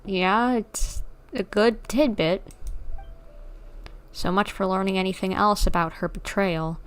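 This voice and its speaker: A woman's voice: mid-range voice, slow, clean tone. A woman streamer giving casual live commentary on a game, musing and speculating aloud as she plays.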